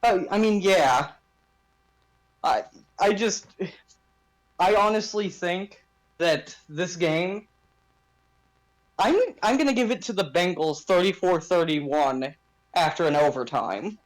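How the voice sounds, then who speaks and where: A man's voice: high voice, animated, takes it slowly, long pauses, low-quality recording. A man chatting casually and emphatically about sports as a podcast host, in informal language.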